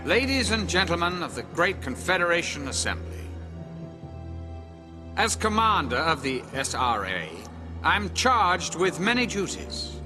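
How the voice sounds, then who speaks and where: A commanding middle-aged admiral addressing a galactic assembly. The voice is high and widely swinging, with theatrical, measured oratory over a clear musical score. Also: male voice, big pitch swings, over music. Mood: grave, resolute. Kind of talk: formal political speech. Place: large assembly hall, at a podium. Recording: film soundtrack with score behind.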